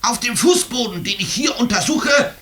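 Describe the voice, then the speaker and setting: An actor in a radio play voicing a zealous, pedantic young investigator's assistant, excitable and self-important, reporting every detail precisely. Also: male voice, very high voice, moderate pace, background noise. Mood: excited, insistent. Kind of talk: 1950s German radio play acting.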